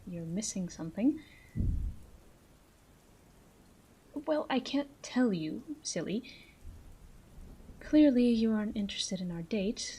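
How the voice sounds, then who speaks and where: A woman's voice: medium pitch, warm tone, a little hiss or hum, close to an everyday voice. A woman playing a flirty, self-assured female mafia boss in a roleplay audio drama, coy and teasing as she speaks straight to the listener. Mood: playful teasing. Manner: coy, teasing, drawn-out phrasing with breathy asides.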